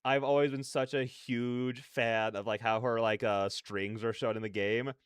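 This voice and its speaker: A man gives casual reaction commentary in a smooth, clear voice at an average pitch. He rambles through his opinions conversationally, and the sound is close and dry. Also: fairly even intonation, a little slow, dry recording. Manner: rambling, peppered with "like" and "you know". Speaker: reaction video host.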